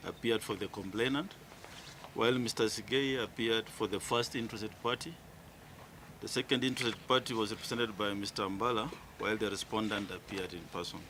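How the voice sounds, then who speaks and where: Kenyan man reading out a ruling, lowish but sharp voice, formal, bit of noise behind. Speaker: electoral commissioner reading a ruling.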